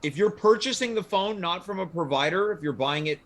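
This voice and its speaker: Male host, notably high voice, relaxed conversational panel delivery. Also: fairly quick. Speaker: talk show host. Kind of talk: casual panel chat.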